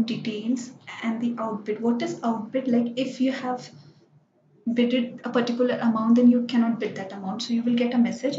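Woman walking through software settings. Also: on the high side, slight background noise. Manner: explains step by step, with frequent "so" and "like". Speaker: software tutorial presenter. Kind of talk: screen-recorded software walkthrough. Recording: dull, roomy screencast voice-over.

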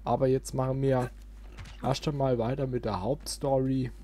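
A man's voice: mid-range voice, level tone, normal speed, warm tone, a little hiss or hum. A man doing casual let's play commentary, thinking aloud with playful little interjections. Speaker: let's player, channel host. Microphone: close desk microphone. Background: game music.

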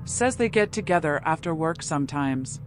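Anxious, guarded noir client. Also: female voice, sits low, lively intonation, moderate pace. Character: anxious, reserved female animal client of a detective. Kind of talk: noir video game voice acting. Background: music.

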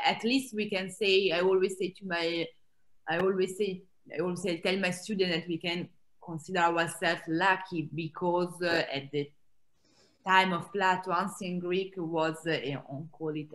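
A woman's voice: lowish voice, normal speed, poor audio. A woman in her thirties with an Italian accent, talking animatedly on a video call from a small room at home, with frequent laughs and restarts. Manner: animated, gestures a lot, laughs, many restarts. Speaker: author and Greek scholar. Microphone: laptop webcam on a video call.

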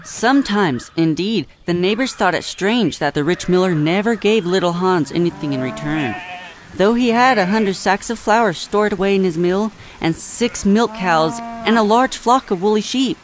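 Audio drama songbird, male actor: gentle, measured storyteller, faint background noise. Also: high voice, lively intonation. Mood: gentle narration. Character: gentle, wise little songbird telling a story.

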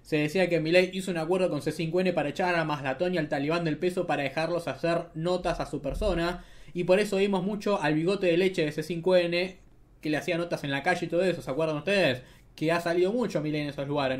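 A man's voice: fairly high pitch, fast talker. A young man with an Argentine accent giving casual, sarcastic political commentary, often ending remarks with tag questions. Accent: Argentine Spanish.

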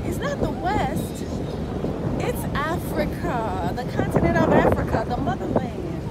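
A woman vlogging as she walks along an outdoor beach, talking slowly and earnestly into a handheld phone. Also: sits high, very animated. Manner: slow, preaching and earnest. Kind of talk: walking vlog narration. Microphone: phone held while walking. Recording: loud wind noise on phone mic. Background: wind and waves.

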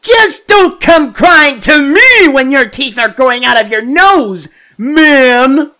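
Grumpy boy beaver for a cartoon: high, sharp, drawn-out grumbling. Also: animated, full character voice. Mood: fuming. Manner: grumbling, shouting. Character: grumpy, fuming male cartoon beaver brother.

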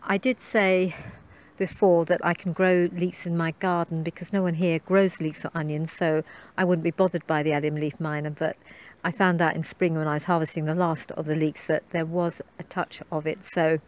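A woman's voice: deep voice, flat delivery, normal speed, darkish tone. Chatty middle-aged woman gardening outdoors. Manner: chatty, homely, with frequent ums. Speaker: gardening vlogger. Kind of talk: casual gardening commentary. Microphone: handheld phone camera.

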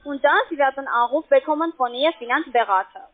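Casting: female phone sales agent, foreign accent, non-native, high voice, halting broken sentences, thin telephone sound. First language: not German. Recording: tinny, muffled phone line.

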